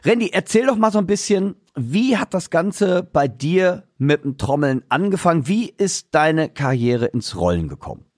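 A man's voice: a little high, sing-song, moderate pace, hardly any pauses, darkish tone, no echo, studio quality. A man hosting a casual podcast interview, chatty and loose, with many fillers and restarts. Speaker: podcast host and drummer.

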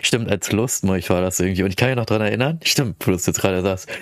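Male podcast host, low-pitched, dry recording, chatty anecdote teller who plays out the dialogue. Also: fast, no echo. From Philippines. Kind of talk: casual anecdote storytelling in chat.

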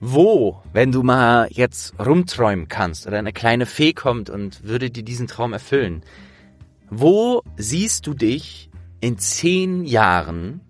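A man's voice: medium pitch, big pitch swings, slow, soft background music. A man chatting casually as an interviewer, with a trained voice actor's delivery. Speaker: interviewer and host.

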